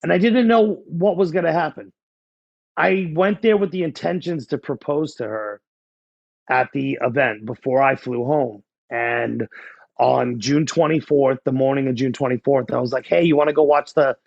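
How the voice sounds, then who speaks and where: A man's voice: medium pitch, gravelly, no echo, muffled recording. A man in his forties with a Boston accent, telling his story in a casual, candid, conversational way. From New England, small-town New Hampshire near the Massachusetts border. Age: 40s. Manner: candid, blunt. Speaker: guest, life coach.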